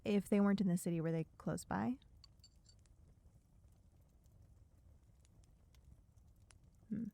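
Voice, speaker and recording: A woman's voice: mid-range voice, quick pace, vocal fry, warm tone, no room sound, lightly acted. A woman playing a wry, bossy, guarded survivor in a dystopian audio roleplay, speaking to a silent listener in a dry, chiding, sardonic way. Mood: probing, teasing.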